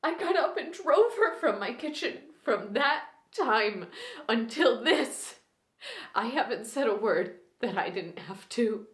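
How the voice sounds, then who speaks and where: A woman performing a dramatic stage monologue as a reclusive widow who haltingly breaks a long silence, in short, pained phrases. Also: on the high side, animated, voice pushed up. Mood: pained, bitter. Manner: halting, short broken phrases, sighing, swinging from hushed to pained. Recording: roomy, echoing indoor sound.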